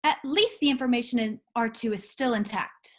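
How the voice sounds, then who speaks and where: A woman's voice: a little high, moderate pace, lightly acted. A woman playing a proud, resolute young rebel princess in a script read, earnest and commanding. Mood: firm, serious. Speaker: actor in a table read. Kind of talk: virtual script table read.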